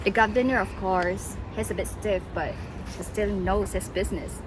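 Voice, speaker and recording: A woman's voice: mid-range voice, steady tone, normal speed, close to an everyday voice. A woman playing a chatty, deferential working man in a stage play, rambling hesitantly. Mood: earnest. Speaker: stage actor. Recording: noisy recording with background ambience.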